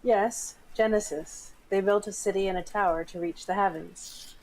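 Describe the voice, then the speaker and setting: A woman's voice: medium pitch, fairly even intonation, moderate pace, a little mellow, lightly acted, low-quality recording. A woman playing an impatient, skeptical surgeon in a screenplay read, matter-of-fact and questioning. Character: impatient, skeptical female surgeon named Alice. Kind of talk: script table read for a screenplay.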